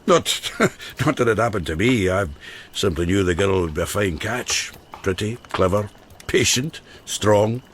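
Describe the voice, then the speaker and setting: A man playing an earthy, haunted widower in a radio drama, an expressive teller who halts and repeats himself. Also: fairly low pitch, measured pace, a little hiss or hum, close to an everyday voice. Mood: hearty, playful. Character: haunted, earthy widower who cooks and once went mad.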